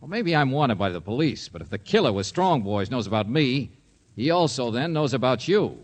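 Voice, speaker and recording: An actor playing a brash, wisecracking private investigator in a 1950s radio drama, with clipped, slangy, insistent delivery. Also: male voice, mid-range voice, big pitch swings, moderate pace, in a large hall.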